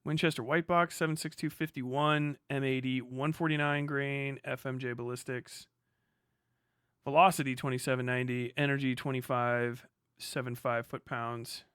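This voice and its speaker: A man livestreaming casually, muttering figures as he reads and thinking aloud in halting bursts with plenty of swearing. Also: medium pitch, fairly even intonation, fairly bright tone, smooth, dry recording, studio quality. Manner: swears freely. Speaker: live streamer.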